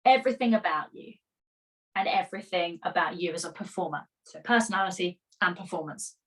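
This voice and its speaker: A woman's voice: on the low side, fairly quick, poor audio. Upbeat woman coaching performers. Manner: energetic and encouraging, chatty with many fillers; motivational, rhetorical questions. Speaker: musical theatre career coach. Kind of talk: live online masterclass, reading chat comments.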